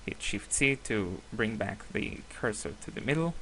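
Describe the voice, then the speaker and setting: A man whose first language is Hebrew, giving a screen-recorded software tutorial with many hesitations and self-corrections. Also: on the low side, expressive, normal speed, a little hiss or hum, rough recording. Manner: apologetic, laughs at himself.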